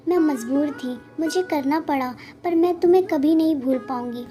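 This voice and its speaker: A woman voicing a young bride who pleads for forgiveness in a soft voice. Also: sits high, moderate pace, soft background music, voice pushed up, close to an everyday voice. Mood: pleading. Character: young bride, torn and apologetic.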